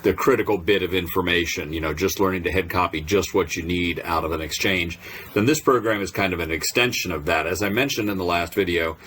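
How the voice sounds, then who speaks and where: Low-voiced man giving a casual tutorial. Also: brisk, a bit bright, a little hiss or hum. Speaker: presenter of a software tutorial. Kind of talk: casual unscripted tutorial narration.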